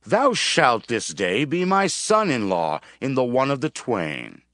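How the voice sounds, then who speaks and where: A man's voice: mid-range voice, very animated, measured pace, a bit bright, no room sound, clean studio sound. A man playing a jealous, scheming king in a dramatized scripture audio, with a grave, commanding, theatrical delivery. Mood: grandly persuasive.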